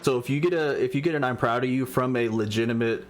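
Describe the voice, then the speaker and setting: A man's voice: medium pitch, faint music behind. A man in his late thirties giving casual live commentary on an online race, joking with his co-host and speeding up when he calls lap times. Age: 30s. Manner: laid-back banter, quickens when calling lap times.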